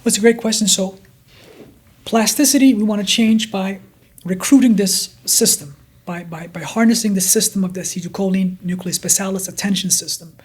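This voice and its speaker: A neuroscientist guest from Denmark, of Kurdish origin, with a blended accent in English, explaining at length and often repeating words and restarting. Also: male voice, high voice, fairly even intonation, normal speed, slightly rough. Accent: blended Danish and Kurdish. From Denmark, Kurdish from Iraq. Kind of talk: explanatory interview talk.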